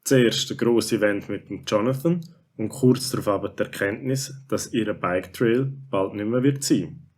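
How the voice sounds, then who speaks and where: A children's story narrator with a fairly low voice and a Swiss accent, performed by a man. He recaps the tale as a friendly storyteller. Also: moderate pace, darkish tone, close to an everyday voice. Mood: storytelling.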